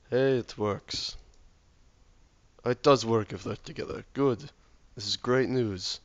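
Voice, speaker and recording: Sick, hoarse man muttering over his game. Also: fairly low pitch, dull audio. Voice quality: slightly hoarse. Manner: mumbling, muttering to himself while building. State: sick with a sore throat. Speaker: let's play gamer. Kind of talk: casual game commentary, thinking aloud.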